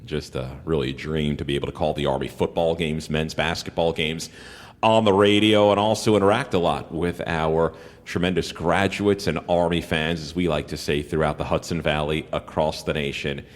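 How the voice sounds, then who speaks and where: A man's voice: rumbling low, steady tone, moderate pace, long reverb tail. A man who works as a sports broadcaster, hosting an interview in a polished radio style.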